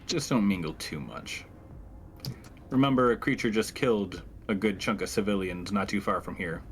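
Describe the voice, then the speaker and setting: A man's voice: on the low side, moderate pace. A man playing a tabletop roleplaying game, talking in character to his fellow players. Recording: music playing underneath.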